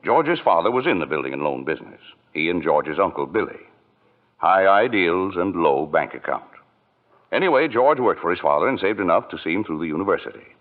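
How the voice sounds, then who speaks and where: A folksy, patient American elder narrator, very deep yet animated. He explains things as a radio drama storyteller. The sound is narrow and muffled, like an old broadcast. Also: a little fast. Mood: genial storytelling.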